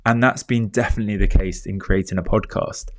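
A man hosting a solo podcast, sounding stuffed up from a cold and speaking in an earnest, measured way. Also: lowish voice, steady tone. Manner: earnest, measured, repeats key points. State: congested from a cold. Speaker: podcast host. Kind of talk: solo reflective podcast monologue.

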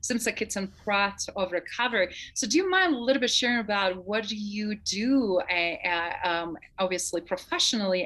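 A woman host with a Croatian accent speaks at a medium pitch. She presents and interviews in an enthusiastic, conversational style. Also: a bit bright.

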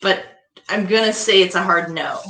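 Low-voiced woman host in an echoey room. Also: moderate pace, pushed and bright, a little hiss or hum. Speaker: host asking questions. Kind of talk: casual interview chat. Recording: roomy, echoey recording.

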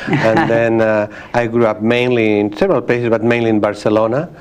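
A man in his sixties from Spain with a Spanish accent, talking as an interview guest, thoughtful, with frequent pauses and restarts. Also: on the low side, steady tone, clear, resonant tone. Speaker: guest, sociologist. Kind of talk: interview conversation.

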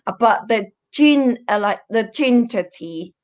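A woman's voice: on the high side, expressive, unhurried. Dull-sounding recording, woman. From Europe, Finnish, born in Germany. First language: Finnish. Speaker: guest, health coach. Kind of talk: casual interview chat.

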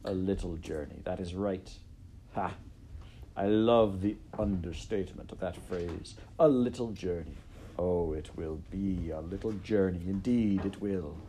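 A man's voice: low voice, a little slow, slight background noise. Man doing a sly, pompous storybook rat. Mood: gloating. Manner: pompous, elaborately polite, hushed. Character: sly, scheming male rat, suave and condescending. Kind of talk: storybook character voice in audiobook narration.

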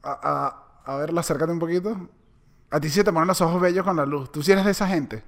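Venezuelan man, high voice, teasing, laughs a lot, podcast co-host. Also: brisk, creaky. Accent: Venezuelan Spanish. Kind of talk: casual comedic chat.

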